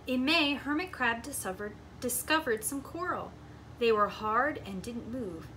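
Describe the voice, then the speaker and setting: A woman reading a children's story aloud like a preschool teacher, with expressive, deliberate phrasing. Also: on the high side, a little hiss or hum, poor audio.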